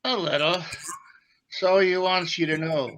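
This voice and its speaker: A man's voice: high-pitched, steady tone, a little slow, fairly bright tone, a little hiss or hum, rough recording. A man playing a tough, sly gangster henchman with insinuating menace in 1940s radio acting style. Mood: threatening. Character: Stuart, menacing gangster henchman delivering threats for his boss.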